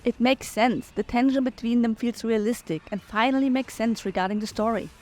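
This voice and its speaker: A woman's voice: on the high side, steady tone, brisk, darkish tone. A woman giving her opinions in commentary on a video game playthrough.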